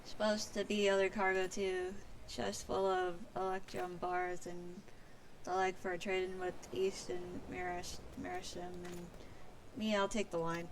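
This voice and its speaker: A woman's voice: medium pitch, a little slow, vocal fry, a little hiss or hum, low-quality recording. A woman streaming a fantasy game, reading its dialogue aloud theatrically and stumbling now and then over words. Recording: music behind the voice.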